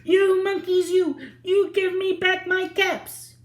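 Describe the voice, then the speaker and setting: Lady putting on an angry peddler guy, high and loud, yelling at monkeys in a storybook, echoey room. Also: little pitch movement, takes it slowly. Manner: shouting, finger-wagging scolding. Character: angry male cap peddler, scolding. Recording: roomy echo.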